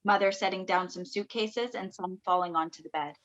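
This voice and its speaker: A woman's voice: medium pitch, brisk, a bit bright, lo-fi sound. A woman reading stage directions as scripted narration for a play over a video call.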